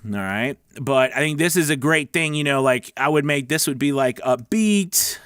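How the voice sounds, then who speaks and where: A man's voice: medium pitch, animated, moderate pace, a bit bright, smooth, no room sound. Casual male voice-over coach, dry close sound. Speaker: voice-over coach and show host. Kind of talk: casual tutorial talk with demo script reads.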